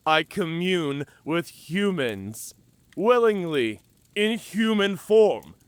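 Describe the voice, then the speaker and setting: A slow, deliberate werewolf in human form, pitched a little high for a male voice. He is wounded yet wry and talkative, bantering theatrically as in a cinematic audio roleplay. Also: sing-song, smooth, dry recording, heavily acted. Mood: amused, teasing.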